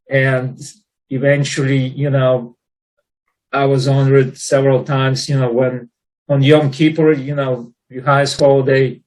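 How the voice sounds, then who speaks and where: A man's voice: medium pitch, poor audio. Man, earnest. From Soviet Union. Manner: warm and earnest, with many "you know" fillers. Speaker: panelist. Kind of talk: personal storytelling in a panel discussion.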